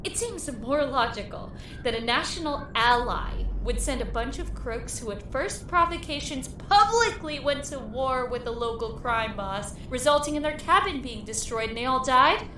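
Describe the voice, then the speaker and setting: An actress playing a tough, sarcastic survivor with a forceful, urgent delivery in an audio drama. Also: female voice, high voice, expressive, moderate pace, few pauses, echoey room, slight background noise. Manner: sardonic, forceful.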